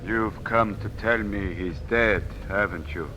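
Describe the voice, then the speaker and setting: A man's voice: lowish voice, a little slow, pushed and bright. An actor playing an anxious, hunted innkeeper suspected of witchcraft, pleading in a 1940s radio drama style. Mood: wary. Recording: old radio recording, background noise.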